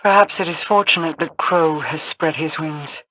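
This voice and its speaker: A woman playing an aloof, cryptic queen in a video game, speaking in a formal, measured, imperious way. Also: low voice, noisy background, lightly acted. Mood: grave. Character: regal, aloof, cryptic queen of an otherworldly people.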